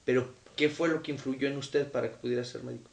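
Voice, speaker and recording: A man's voice: medium pitch, steady tone, fast talker, creaky, slight background noise. A man with a Mexican accent interviewing a guest in a formal, polite conversational way, recorded from a distance in an echoey room. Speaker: television interviewer. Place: interviewee's home, indoors.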